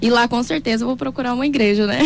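A woman from Brazil giving a soft-spoken, halting and heartfelt personal testimony. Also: a little high, creaky, a little hiss or hum.

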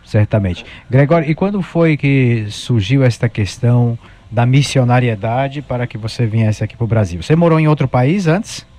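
A Brazilian man hosts a radio interview as a priest. His voice is mellow and dark. He prompts his guest in a friendly way, ending lines with tag questions. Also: mid-range voice, normal speed, hardly any pauses. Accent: Brazilian Portuguese.

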